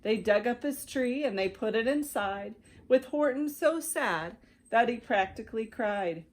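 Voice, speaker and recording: An American woman reading a rhyming children's storybook aloud as an expressive narrator. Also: a little high, a little slow. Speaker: children's ministry director reading stories.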